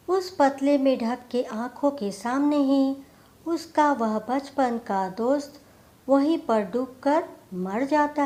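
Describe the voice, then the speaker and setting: A woman tells a scripted moral story as its narrator. Her voice sits a little high, and she takes the lines slowly, as a storyteller does. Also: darkish tone.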